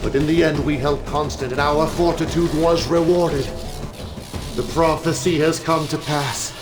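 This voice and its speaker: An actor playing a grandiose, fatherly cult leader who speaks in solemn, deliberate prophecy in a video game cutscene. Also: a little high, steady tone, close to an everyday voice. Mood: urgent, exultant. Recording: voice over loud background music.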